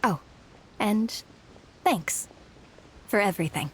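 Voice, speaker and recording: A woman's voice: mid-range voice, expressive, slow, a little hiss or hum, rough recording. A woman voicing a weary, self-doubting young working woman in an anime game, hesitant and earnest. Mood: grateful.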